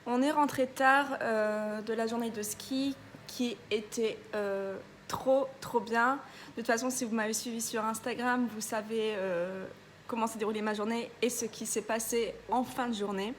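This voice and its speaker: A woman's voice: on the high side, steady tone, a little slow, a little hiss or hum. A young woman vlogger telling a story in a casual, chatty way, with many hesitations and a stuffy nose from a cold. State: congested, a bit ill.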